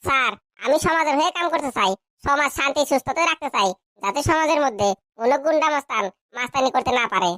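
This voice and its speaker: Cartoon schoolboy: very high, sharp, naive and dim-witted, pleading, close dry recording. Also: female voice, fast talker, no room sound. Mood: earnest, naive. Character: cheeky, dim young schoolboy pupils, meek before teacher. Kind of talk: comic cartoon dub.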